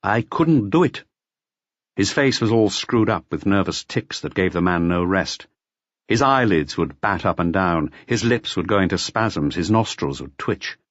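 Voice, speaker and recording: Guy reading a detective novel, lowish voice, lots of melody, bit dull recording. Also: expressive, moderate pace, fairly bright tone, no echo. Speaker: audiobook narrator. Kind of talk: scripted literary narration of a detective novel.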